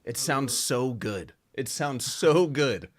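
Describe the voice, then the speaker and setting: A man's voice: medium pitch, animated, slow. A man hosting a casual video chat, talking in a rambling, hesitant way with lots of fillers. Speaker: podcast host.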